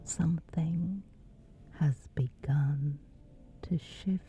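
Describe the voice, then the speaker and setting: A woman guiding a scripted sleep meditation in a breathy, whispery, hushed and soothing voice, leaving long pauses. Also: low-pitched, slight background noise. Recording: slightly dull, soft music behind. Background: music.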